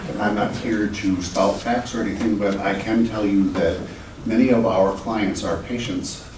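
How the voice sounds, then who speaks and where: A man from the Midwest, a dental hygienist, speaking plainly and sincerely at public comment. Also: deep voice. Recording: echoey room, distant mic, clear noise.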